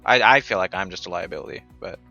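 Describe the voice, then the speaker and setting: A man's voice: sits low, quick pace, creaky, bright, forceful tone, soft background music. A man playing a tabletop game, thinking aloud hesitantly about plans. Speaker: player. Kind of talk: casual tabletop game chat. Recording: dull lo-fi mic.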